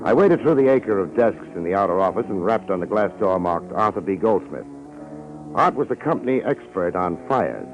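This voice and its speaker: An actor playing a tough, world-weary private detective in a vintage radio drama, narrating and wisecracking in deadpan hard-boiled slang. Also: male voice, low-pitched, normal speed. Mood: dry, brisk. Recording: muffled old radio recording, music behind.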